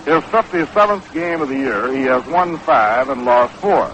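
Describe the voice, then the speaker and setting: An American man calling baseball play-by-play in 1960s radio style, with a measured, unhurried, factual delivery. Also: medium pitch, lively intonation, fairly bright tone. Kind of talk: 1960s live radio sports commentary. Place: ballpark broadcast booth. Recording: dull, noisy 1960s AM radio broadcast.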